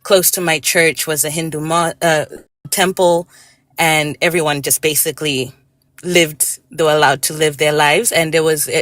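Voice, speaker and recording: A woman from Malawi, a guest in a casual live-stream discussion, talking in a hesitant, halting way with many fillers and restarts. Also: low voice, steady tone, a bit bright, dry recording, studio quality.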